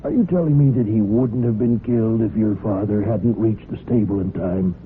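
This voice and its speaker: An actor playing an older, paternal judge in a vintage radio drama, deliberate and gravely persistent as he admonishes. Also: on the low side, lightly acted. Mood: probing. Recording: muffled, noisy old radio broadcast recording.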